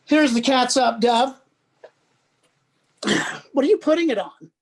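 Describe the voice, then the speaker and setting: A man playing a fussy, excitable, easily flustered sidekick in a radio play, with a theatrical, exclaiming manner. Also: extremely high pitch, slow pace, full character voice. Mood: obliging.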